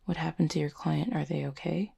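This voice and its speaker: A woman plays a supportive confidant in an audio drama. The voice sits a little low, and the lines are short, gentle and concerned. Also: fairly quick, gravelly, husky, heavily acted. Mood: worried. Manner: brief, gentle questions and reassurance. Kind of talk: intimate audio roleplay acting.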